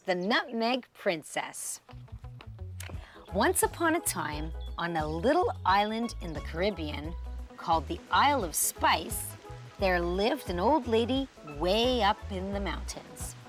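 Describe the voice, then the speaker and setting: Female storybook narrator for a children's show: expressive, highly varied pitch, lingering on key words, music underneath. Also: slow.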